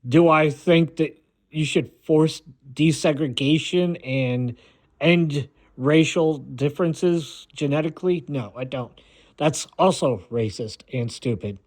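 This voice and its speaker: Blunt American man, slightly high-pitched voice. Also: a little mellow. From United States. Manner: blunt, dismissive, halting with repeats. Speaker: video maker. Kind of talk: rambling opinionated monologue.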